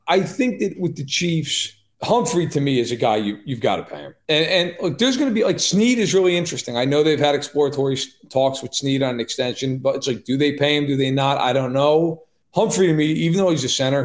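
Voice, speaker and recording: A man giving quick, opinionated sports analysis as a podcast guest, with wry joking asides. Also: fairly high pitch. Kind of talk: casual sports analysis chat. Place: live-streamed podcast.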